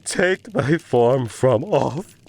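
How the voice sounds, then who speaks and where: A man's voice: mid-range voice, animated, vocal fry, a little mellow, slight background noise, exaggerated voice. A man acting out a grieving, tormented man in a theatrical, anguished gothic poetry recital. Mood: shrieking fury. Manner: theatrical, anguished, drawn-out delivery. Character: grieving, tormented man pleading and raging at a raven.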